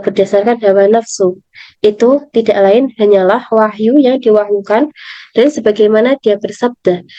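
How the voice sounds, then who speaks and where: Young female student, Indonesian accent, hoarse and soft-toned, careful recited delivery; dull, roomy recording. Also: a little high. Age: young adult. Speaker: university student. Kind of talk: reading aloud a study presentation. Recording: dull lo-fi, slightly echoing room.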